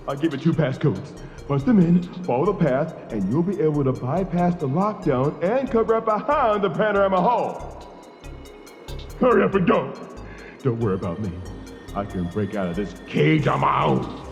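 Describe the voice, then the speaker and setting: A man voicing a timid, guilt-ridden but selfless character in a game, speaking in a halting, dramatic, apologetic way. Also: a little high. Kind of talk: emotional game voice acting. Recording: echoey hall with long reverb.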